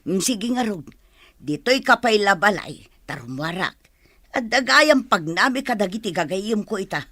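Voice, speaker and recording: A woman's voice: medium pitch, lively intonation, normal speed, a bit bright, no room sound, clean studio sound. A woman playing a kindly, chatty old grandmother with an Ilocano accent in a radio drama. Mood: agreeable.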